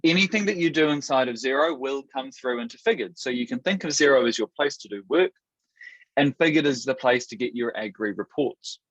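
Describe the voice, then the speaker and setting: A man from New Zealand with a Kiwi accent, hosting a product webinar in an upbeat, steady presenter manner with a few restarts. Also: medium pitch, a little fast, dry recording. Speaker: webinar host. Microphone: video call. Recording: dull dry webinar audio.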